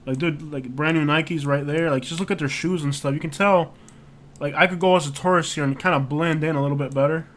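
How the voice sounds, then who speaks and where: A man with a fairly high voice hosts a loose, unscripted livestream. He rambles as he reacts to what he sees and leans hard on filler words. His delivery is casual and conversational throughout. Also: steady tone, a little fast, fairly bright tone. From United States. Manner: rambling, heavy on fillers like "like" and "you know". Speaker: livestream host. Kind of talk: casual live-stream chat while browsing street views.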